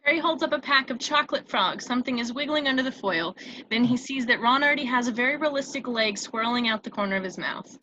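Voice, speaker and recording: A woman's voice: fairly high pitch, fast, bright, forceful tone, no echo, lo-fi sound. A woman reading scripted stage directions as the narrator of a screenplay table read. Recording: noticeable background noise on home mic.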